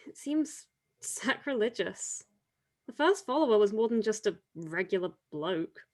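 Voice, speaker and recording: A woman acting as a devout island woman in an audio drama. She teases with dry wit and speaks reflectively, in a middle-pitched voice. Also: sing-song, unhurried. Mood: uneasy. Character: wry, devout island woman, teasing grave-robbing companion. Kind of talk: audio drama table read.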